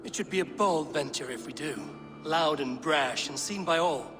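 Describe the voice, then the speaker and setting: Man playing Viking, slightly high pitch, blunt, terse, steadfast, game cutscene, music behind. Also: moderate pace, lightly acted. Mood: firm. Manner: plain-spoken, terse, firm. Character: blunt, stalwart Norse Viking warrior. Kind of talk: video game cutscene acting.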